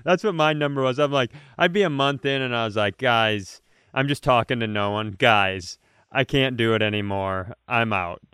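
A man hosting a comedy podcast in casual chat, spinning hypothetical riffs as an animated storyteller who acts out imagined quotes and swears freely. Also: medium pitch, normal speed, clear, resonant tone, no echo, studio quality.

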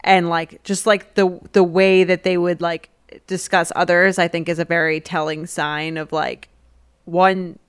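A woman's voice: lowish voice, smooth, no echo, studio quality. A woman co-hosting a podcast, talking in a casual, conversational way as in chat or a host-read spot.

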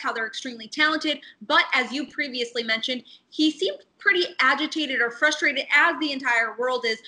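A woman hosts a sports news segment. Her voice sits high and has a bright, forward edge as she presents and discusses the story. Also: poor audio. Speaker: sports show host.

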